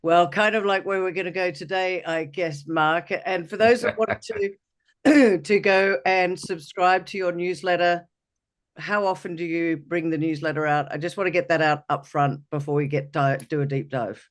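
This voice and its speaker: A man's voice: sits high, fairly even intonation, normal speed. A male podcast host giving chatty, upbeat introductions and asking questions in an interview.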